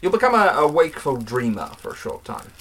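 Audio drama mentor played by a man: cryptic, earnest occult investigator, slightly awkward explainer, fairly high voice with animated pitch. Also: a little fast, full character voice. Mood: earnest, explaining.

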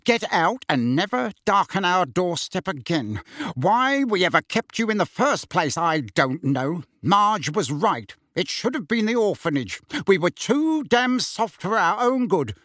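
Man acting blustering, high-strained angry uncle. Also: animated, normal speed, few pauses, slightly rough, no echo, studio quality, exaggerated voice. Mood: furious. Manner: roaring, sputtering, stammering when unsettled. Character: blustering angry uncle, middle-aged man, easily deflated.

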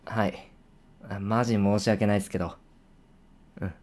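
A young man acting as a playful senior coworker who teases in a casual, offhand way with hushed asides. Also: fairly low pitch, steady tone, normal speed, close to an everyday voice. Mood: apologetic. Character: teasing male senior coworker, playful, secretly fond. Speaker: voice actor. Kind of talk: situation voice drama.

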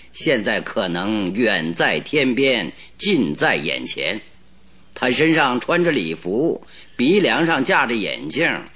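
A shrewd, self-assured detective from an old radio play. He presses and mocks with cool precision, his bright voice rising and falling in lively swings. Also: medium pitch. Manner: probing, mocking, coolly pressing. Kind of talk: radio drama acting.

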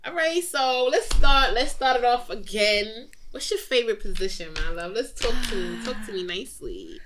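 A woman's voice: a little high, big pitch swings, normal speed, a bit bright. Brash woman podcast host, loose casual chat, faint background hiss. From Dominican, Cuban and Jamaican heritage. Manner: brash, swears freely, teasing, lots of "like".

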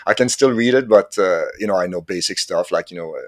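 Man in his fifties, fairly low voice, dry close sound, halting, hunts for words. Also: dry recording. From Quebec, Canada. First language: French. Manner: halting, searches for words, chuckles often. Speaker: podcast guest. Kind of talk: casual interview chat.

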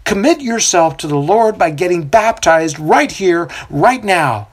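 A storyteller voicing a fiery male desert prophet with a booming, coarse voice who preaches loudly to a crowd. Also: expressive, unhurried, breathy edge. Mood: urging.

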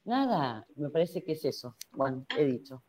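A woman's voice: low voice, big pitch swings, moderate pace, background noise. A woman psychologist with a Rioplatense Spanish accent, answering in a radio interview and talking through ideas by asking herself rhetorical questions. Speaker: psychologist guest.

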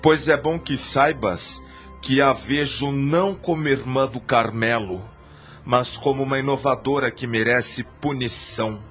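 An actor with a Brazilian accent playing an arrogant, wicked friar in a radio drama, speaking in a formal, accusing manner. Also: male voice, medium pitch, unhurried, fairly bright tone, faint music behind, lightly acted. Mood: indignant, haughty. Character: arrogant, wicked friar newly sent as convent chaplain.

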